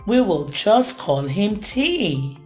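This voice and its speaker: A woman narrating a children's storybook as a storytime host, with expressive, deliberate phrasing for young listeners. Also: medium pitch, faint music behind. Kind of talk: children's storybook read-aloud, rhyming narration.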